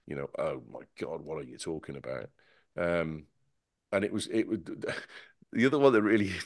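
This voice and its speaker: A man on a casual tech podcast panel, talking in an animated, sarcastic way with many stumbling restarts. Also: on the low side, no room sound, studio quality.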